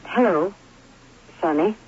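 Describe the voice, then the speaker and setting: An actress playing a shy, self-deprecating middle-aged woman in a radio drama, hesitant, wistful and gently teasing, on an old broadcast. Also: lowish voice, takes it slowly, slightly rough, highs cut off.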